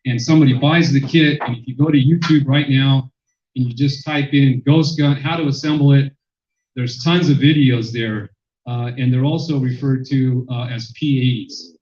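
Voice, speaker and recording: Luide spreker, mannelijk, lezing in zaal met galm, veel "uh" en herstarts, doffe opname. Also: male voice, mid-range voice, fairly even intonation, normal speed. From Mexican-American. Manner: loud, many fillers and restarts. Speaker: sociologist presenter. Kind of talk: public lecture. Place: lecture room. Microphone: handheld microphone, then none. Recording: echoey hall, dull sound.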